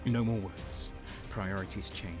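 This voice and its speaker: An actor playing a grim, battle-worn traitor soldier, narrating in terse, intense first person for an audio drama. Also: male voice, deep voice, steady tone, unhurried, music in the background. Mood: grim resolve.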